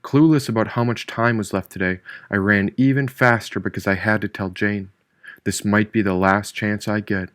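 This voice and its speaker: A man's voice: on the low side, steady tone, moderate pace, fairly bright tone, no room sound, studio quality. A man reading a first-person novel aloud as a scripted audiobook narrator. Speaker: audiobook narrator, author reading own book.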